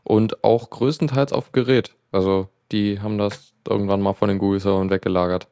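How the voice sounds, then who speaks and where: Male co-host, gravelly, laid-back casual chat. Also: on the low side, steady tone, brisk, dark tone, no room sound, clean studio sound.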